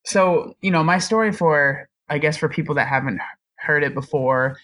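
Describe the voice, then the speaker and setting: A man's voice: on the high side, moderate pace, fairly bright tone. A young man telling his story as a podcast guest, chatty and self-deprecating, often laughing. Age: young adult. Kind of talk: casual storytelling interview.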